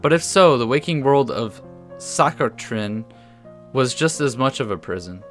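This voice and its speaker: Male gaming host, casual, reads story text aloud with small stumbles, mid-pitched voice, soft guitar music underneath. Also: lively intonation, unhurried. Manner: casual, stumbles over words when reading aloud. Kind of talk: let's play commentary mixed with reading story text aloud.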